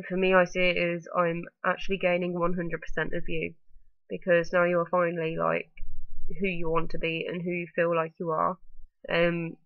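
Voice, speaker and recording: A woman's voice: on the low side, gravelly, dry recording, phone-quality sound. Stuffy-nosed woman rambling to camera. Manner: rambling, full of ums and likes. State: blocked-up nose from a bad cold. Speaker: vlogger. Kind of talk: casual talking-to-camera vlog.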